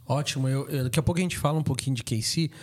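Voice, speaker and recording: A man's voice: medium pitch, rapid-fire. A man hosting a podcast, who greets listeners in a welcoming way and asks his guest open questions. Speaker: podcast host.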